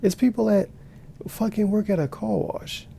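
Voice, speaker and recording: A man's voice: high voice, normal speed, vocal fry, warm tone, slight background noise. A man giving sarcastic, profane reaction commentary while watching a video. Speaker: commentary streamer.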